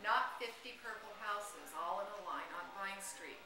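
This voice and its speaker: A woman voicing an earnest, fussy storybook house painter with emphatic, deliberate phrasing, in a children's read-aloud. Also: medium pitch, lively intonation, over music, lightly acted. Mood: dismayed. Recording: noisy, echoey home recording.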